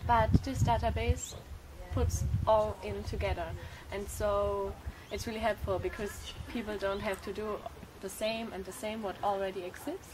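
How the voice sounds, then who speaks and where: A woman's voice: mid-range voice, level tone, normal speed, few pauses. Young woman from Germany, eighteen, eager and searching for words, strong noise in the background. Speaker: civic service volunteer. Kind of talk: conference interview answers.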